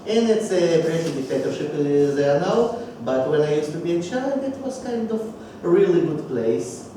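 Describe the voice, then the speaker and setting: A man in his thirties with a Russian accent tells his life story, halting now and then as he hunts for the right word. He is captured from a distance in a very echoey room, with steady background noise behind him. His pitch sits in a middle range. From Belarus. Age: 30s. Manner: halting, searching for words in a second language. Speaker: speaker at a public speaking club. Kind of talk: personal life story speech. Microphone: distant microphone.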